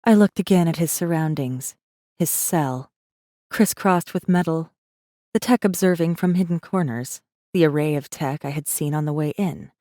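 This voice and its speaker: A woman playing a guarded, secretive rebel messenger who narrates an audiobook in an intense, measured first-person style. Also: sits low, warm tone, no echo, clean studio sound, lightly acted. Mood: probing, curious.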